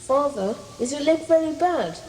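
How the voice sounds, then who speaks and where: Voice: high voice, lively intonation, normal speed, darkish tone. A performer playing an anxious, apologetic schoolboy, earnest and pleading, in a radio play. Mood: pleading, frightened. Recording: echoey long reverb, faint noise.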